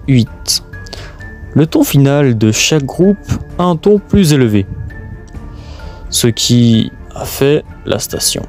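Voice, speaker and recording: Halting man narrating over music. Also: mid-range voice, a little mellow. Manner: halting reading with stumbles. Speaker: presenter. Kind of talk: scripted documentary narration.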